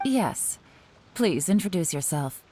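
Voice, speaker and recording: An actress playing a composed, cryptic woman official in a video game, speaking in a measured, businesslike way. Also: on the low side, sing-song, dark tone, slight background noise. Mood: formal.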